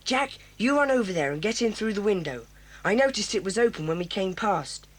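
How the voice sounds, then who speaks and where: A woman's voice: medium pitch, animated, a little fast, natural voice. A woman voicing a clever, bold schoolboy who leads a children's club, eager and decisive. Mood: determined. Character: clever bold boy leader of a kids' club.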